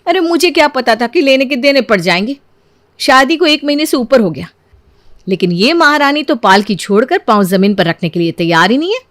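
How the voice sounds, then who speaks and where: Woman acting an aggrieved, high-voiced daughter-in-law. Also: big pitch swings, fairly quick, fairly bright tone, no echo, clean studio sound. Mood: indignant complaining. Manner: whiny, indignant, dramatic. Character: exasperated, complaining young Indian elder daughter-in-law.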